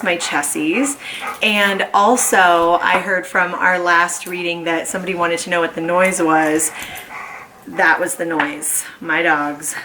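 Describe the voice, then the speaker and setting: A woman who teaches kindergarten, reading a children's book aloud at home in an animated, expressive way. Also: on the low side, a little hiss or hum, rough recording. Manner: animated, slow and expressive storytelling.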